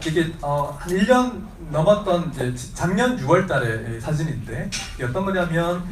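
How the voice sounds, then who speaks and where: A man giving a lecture on his book to a seated audience, with a sore throat from a cold, speaking haltingly with many fillers and restarts and clearing his throat often. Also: a little high, lively intonation, a crowd in the background. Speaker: lecturer and author. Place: seminar room with audience. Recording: echoey room, voice from a distance, faint hiss.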